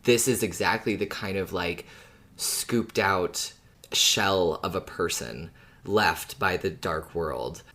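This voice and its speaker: A woman's voice: very deep voice, slow, fairly bright tone. A woman co-hosting a podcast, casually talking over a story in a thoughtful, agreeable way. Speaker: podcast co-host. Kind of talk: casual discussion of a fiction podcast.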